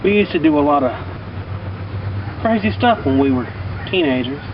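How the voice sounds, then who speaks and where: A man's voice: a little high, animated, moderate pace. A man casually telling a rambling personal story in a folksy, colloquial, emphatic way. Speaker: vlogger telling personal stories. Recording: distant mic, muffled, loud outdoor traffic-like noise.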